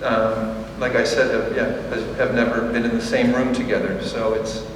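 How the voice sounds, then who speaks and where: A man, an artist speaking at a press conference, halting with many ums and restarts. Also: medium pitch, little pitch movement, slight background noise. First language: English. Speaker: exhibiting artist. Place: large echoey museum hall. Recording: echoey hall, distant mic.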